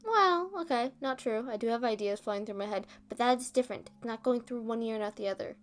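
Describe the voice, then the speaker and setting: A woman playing a rambling, self-deprecating roommate in a casual ASMR roleplay, talking in a halting, defensive, conversational way. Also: on the high side, lightly acted. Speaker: audio roleplay performer and script writer.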